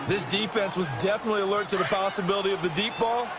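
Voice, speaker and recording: A man's voice: sits high, very fast, over music, close to an everyday voice. A man playing an emphatic, conversational American color commentator in a football video game broadcast. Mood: emphatic, analytical. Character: male TV football analyst, emphatic and folksy. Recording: loud game stadium noise behind. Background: loud stadium game ambience.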